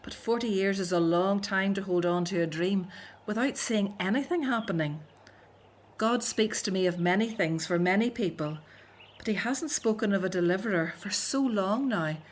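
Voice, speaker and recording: Actress as devoted grown daughter in radio drama, middle-aged, hopeful but doubting. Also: female voice, medium pitch, moderate pace, slight background noise, close to an everyday voice. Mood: doubtful, wistful.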